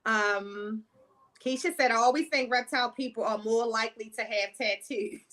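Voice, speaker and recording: A woman's voice: fairly high pitch, moderate pace, fairly bright tone. A woman with a Baltimore accent hosting a casual podcast, laughing often as she reads viewer comments aloud. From Baltimore, USA. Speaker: podcast host. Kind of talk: casual live-stream chat.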